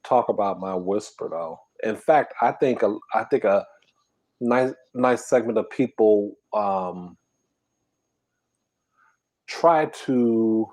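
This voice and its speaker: A man hosting a casual eating stream, talking softly and half-whispering in a relaxed, drowsy way while reading comments. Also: mid-range voice. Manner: soft, quiet, half-whispered talk. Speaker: ASMR mukbang channel host.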